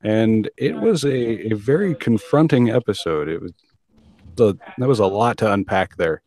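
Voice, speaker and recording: A man guest hosting a casual panel interview, chatty and a little halting as he puts questions. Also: lowish voice, expressive, darkish tone. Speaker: guest podcast host.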